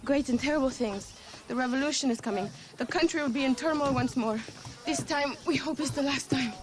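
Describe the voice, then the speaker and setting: A woman's voice: high-pitched, a little fast, background noise, lightly acted. An actress playing an earnest, defiant young Mexican revolutionary woman in a video game, with a Mexican accent. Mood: earnest, resolute. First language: Spanish. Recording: music behind the voice.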